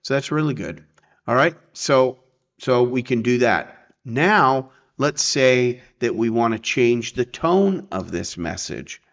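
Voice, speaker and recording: Male tutorial presenter, mid-pitched, informal and explanatory, slightly muffled lo-fi voice-over. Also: lively intonation, slow, fairly bright tone. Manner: informal, explanatory, checks in with "okay" and "right". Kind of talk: casual screen-share software tutorial.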